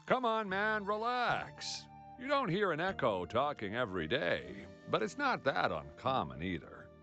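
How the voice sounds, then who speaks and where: A man's voice: a little high, wildly swooping pitch, measured pace, sharp tone, muffled recording, soft background music, heavily acted. A man voicing a chatty, laid-back and helpful local townsman in a video game. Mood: easygoing, informative.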